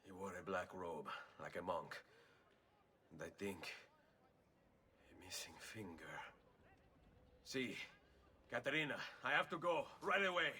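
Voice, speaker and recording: An actor playing a resolute, courteous assassin with an Italian accent in a video game cutscene. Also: male voice, low-pitched, expressive, a little slow, long pauses, pushed and bright, distant mic, a little hiss or hum, heavily acted. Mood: urgent. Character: determined Italian male assassin hunting a stolen artifact.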